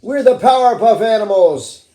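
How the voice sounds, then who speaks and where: A performer voicing an excited, loud, hooting owl in a puppet show, recorded in an echoey room. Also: mid-range voice, normal speed, voice pushed up, lightly acted. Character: excited hooting owl cartoon-style superhero. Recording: echoey room with some noise.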